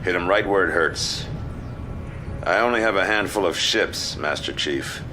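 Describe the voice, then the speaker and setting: An older actor playing a steady, fatherly fleet admiral in a sci-fi game, with measured authority. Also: deep voice, background noise. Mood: steady confidence. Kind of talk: military sci-fi game dialogue. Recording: music behind the voice.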